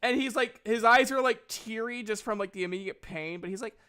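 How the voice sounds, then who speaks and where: A woman improvising casual narration as a tabletop game master, haltingly restarting her descriptions. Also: on the high side, fairly bright tone.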